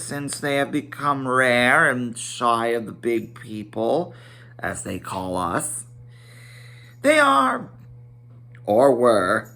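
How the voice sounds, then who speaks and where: A man's voice: mid-range voice, sharp tone. A man reading a fantasy storybook aloud in a theatrical, emphatic manner, stumbling now and then. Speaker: amateur storybook reader. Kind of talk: dramatic read-aloud of a children's fantasy novel.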